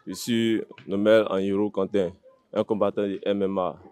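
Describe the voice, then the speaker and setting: Low-voiced Ivorian male fighter, blunt. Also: little pitch movement, moderate pace, darkish tone, clear, resonant tone, dry recording. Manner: blunt, plain-spoken, short repetitive phrases. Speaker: MMA fighter being interviewed. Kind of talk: informal interview answers.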